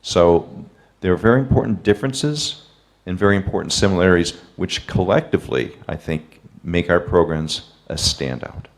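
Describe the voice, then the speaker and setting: A man, a professor, answering questions in a webinar over a video call in a measured, explanatory way. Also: low-pitched.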